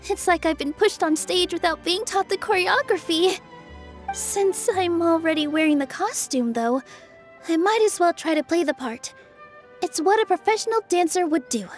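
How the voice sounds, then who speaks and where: An actress playing a gentle, encouraging young woman who is a dancer. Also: very high voice.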